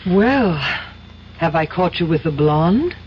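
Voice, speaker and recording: A woman's voice: fairly low pitch, lively intonation, slow. A woman playing a shrewd, teasing young society fiancée with an American accent, playful and cajoling in 1970s radio drama style. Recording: dull, noisy old radio broadcast.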